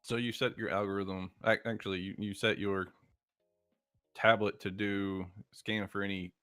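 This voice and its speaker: A man with a low voice narrates a tabletop roleplaying session as game master. He sets out scenes in a measured, descriptive way, with music playing faintly behind him. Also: little pitch movement, a bit bright, no echo, clean studio sound.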